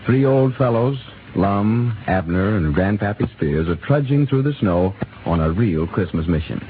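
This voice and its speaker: A male radio announcer with a lively, swooping delivery reads the sponsor's pitch and sets the scene. He comes through a tinny 1930s broadcast with faint hiss behind. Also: measured pace. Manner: smooth, expressive salesmanlike delivery.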